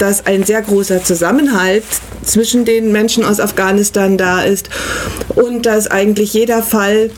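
A woman's voice: medium pitch, a little mellow, a little hiss or hum. A woman guest on a radio talk show, speaking earnestly and articulately with a few fillers. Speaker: refugee council member.

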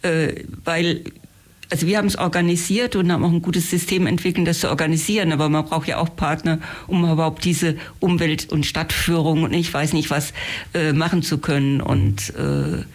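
A man's voice: fairly high pitch, moderate pace. A man speaking earnestly and conversationally as an interview guest about volunteer work he helps organise.

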